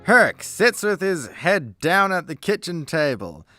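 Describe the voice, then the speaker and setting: A man's voice: on the high side, sing-song, slow pace, sharp tone, faint music behind. A man delivering scripted narration as the narrator of a comedy radio play.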